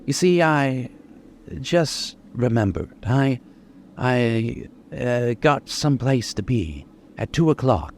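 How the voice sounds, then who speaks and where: An actor playing a brooding, menacing male killer in old-time radio suspense style, intense, halting and obsessive. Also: mid-range voice, lively intonation, a little hiss or hum. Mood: uneasy, evasive.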